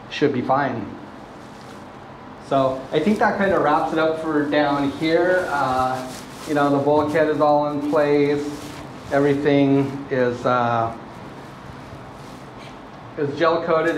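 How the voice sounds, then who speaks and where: A man in his thirties giving a relaxed, plainspoken walkthrough of a boat refit, with plenty of ums and uhs. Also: mid-range voice. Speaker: boat owner showing a refit. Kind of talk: casual walkthrough video. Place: inside a fiberglass boat hold and on deck. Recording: echoey hull with faint background noise.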